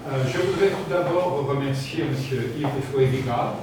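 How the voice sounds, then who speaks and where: Middle-aged man from France, measured hesitant speaker; distant mic, very roomy, noisy. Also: medium pitch. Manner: slow, measured, hesitant with "euh" fillers. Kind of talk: formal talk with pauses for interpreting. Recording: echoey room from far off with noise.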